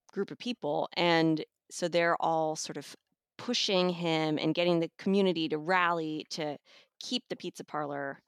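Woman guest doing a casual review chat, kinda low pitch, no room echo. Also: studio quality. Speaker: audiobook reviewer and podcast guest.

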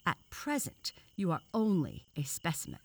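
A woman reader acts out a male frog professor from a children's storybook. He is severe and self-important, lecturing at a very unhurried pace with sarcastic scorn. The pitch sits a little raised above plain narration. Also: animated, darkish tone, heavily acted.

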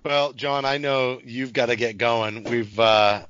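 A man's voice: medium pitch, level tone, slow pace, a bit bright, no echo. A man hosting a podcast in casual sports chat, recorded with a dull lo-fi microphone sound. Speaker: podcast host.

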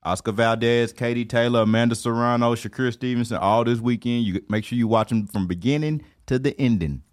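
A man's voice: mid-range voice, steady tone, fairly quick. A man co-hosting a casual podcast, laid-back and chatty, telling stories with frequent "you know what I'm saying". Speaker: podcast co-host. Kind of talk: casual boxing chat.

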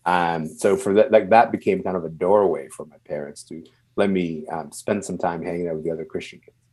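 A man from Sudan who moved to Canada young and is not a native English speaker, speaking reflectively and haltingly as an interview guest, searching for words. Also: low-pitched, fairly even intonation, a little mellow. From born and raised in Sudan, moved to Canada at twelve. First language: non-English first language.

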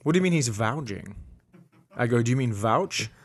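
A man's voice: mid-range voice, animated, moderate pace. A middle-aged man hosting a comedy podcast in casual chat, telling stories with an amused, ready-to-laugh manner.

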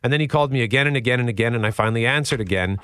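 Radio host, male, deep voice, exasperated storyteller, dry close studio mic. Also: racing, a bit bright, clear, resonant tone, dry recording. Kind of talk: casual morning radio chat.

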